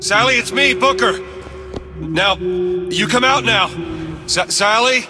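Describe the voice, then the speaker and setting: A man acting in a video game as a gruff, desperate man searching for a lost girl, intense and pleading, barking commands. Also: sits high, slow. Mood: urgent pleading. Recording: loud music behind the voice.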